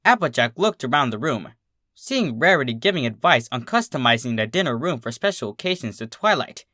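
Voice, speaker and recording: A narrator reading a scripted story in an expressive storytelling manner. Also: medium pitch, brisk, no echo, studio quality. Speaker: fan fiction reader.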